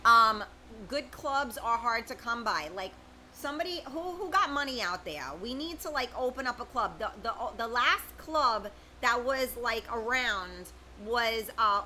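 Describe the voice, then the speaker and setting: A woman's voice: fairly high pitch, sharp tone, a little hiss or hum. A middle-aged woman, hosting a casual livestream, reading viewer comments and chatting with them by name. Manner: chatty, informal, talks straight to viewers by name. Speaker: livestream host.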